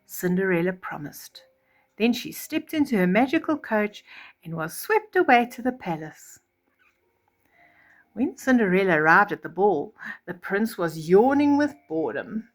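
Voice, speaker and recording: A woman storyteller reading a children's fairy tale expressively, with chatty asides and acted-out reactions. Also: medium pitch. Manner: animated, acts out reactions.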